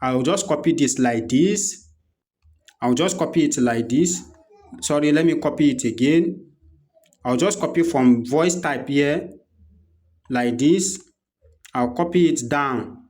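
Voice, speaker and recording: A man with a Nigerian accent presenting an unscripted software walkthrough, explaining step by step and repeating his instructions as he goes. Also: on the high side, slow, long pauses. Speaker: tutorial presenter.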